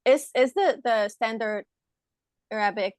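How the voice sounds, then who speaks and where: A woman's voice: on the high side, measured pace, dry recording, studio quality. A woman hosting a podcast, chatting casually and asking curious follow-up questions. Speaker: podcast host. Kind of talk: casual chat.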